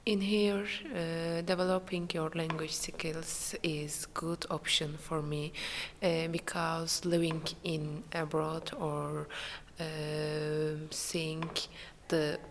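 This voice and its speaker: Slow, gravelly young woman from Turkey. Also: deep voice, little pitch movement, a little hiss or hum. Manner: hesitant non-native English with many fillers. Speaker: international student interviewee. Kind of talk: casual interview answers. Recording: hall reverb with faint ambience.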